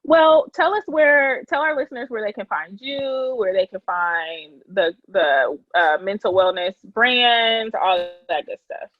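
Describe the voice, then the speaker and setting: A woman's voice: mid-range voice, lively intonation, unhurried, few pauses, dry recording, phone-quality sound. A woman with a Detroit accent, co-hosting a podcast, talking candidly and reflectively in casual conversation. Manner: candid, reflective, many fillers. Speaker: podcast co-host.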